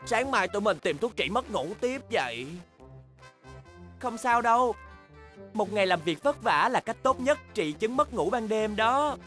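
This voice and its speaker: A woman's voice: on the high side, big pitch swings, unhurried, a bit bright. A woman voicing a helpful, patient young polar bear in a children's cartoon dub, speaking in a reassuring, encouraging way. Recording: background cartoon music.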